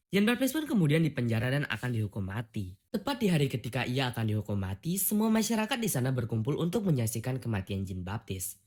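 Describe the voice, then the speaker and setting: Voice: mid-range voice, darkish tone. A narrator reading a scripted film plot recap.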